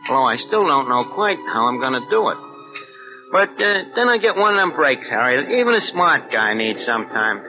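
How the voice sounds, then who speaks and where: An actor playing a streetwise American check forger, talking in tough street slang in an old radio drama. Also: male voice, mid-range voice, very animated, fairly quick, music in the background. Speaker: narrator of his own story. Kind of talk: 1940s radio acting. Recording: muffled old radio recording with hiss.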